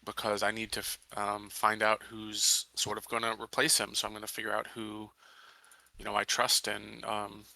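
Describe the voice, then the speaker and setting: A man's voice: on the low side, completely flat delivery, moderate pace, vocal fry, pushed and bright, no echo. A man playing a tabletop game, chatting casually and thinking aloud in a tentative way. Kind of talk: casual chat.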